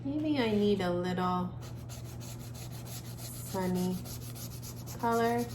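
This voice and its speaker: A woman giving a casual art lesson for children, musing gently aloud as she draws. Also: mid-range voice. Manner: gentle, musing, talks while drawing with trailing pauses. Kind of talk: casual creative drawing lesson, thinking aloud. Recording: echoey room with clear background noise.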